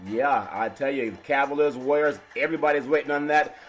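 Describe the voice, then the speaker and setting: A man with a raspy, half-lost voice hosting a casual sports talk show, laughing often and talking slowly. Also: medium pitch. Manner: slow and laughing, keeps calling his co-host's name. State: hoarse, partly lost voice. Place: West Coast radio studio.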